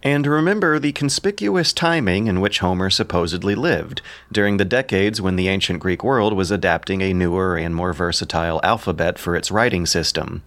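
A man with a fairly deep voice presents a scripted literary commentary. He sounds learned and enthusiastic, leaning firmly on his points. The recording is dry, with very little room sound. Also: lively intonation, a little fast, hardly any pauses, fairly bright tone, no echo.

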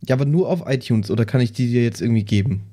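A man playing along in casual comic banter, sounding naive and halting as he gropes for words. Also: medium pitch, mellow. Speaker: player on the show.

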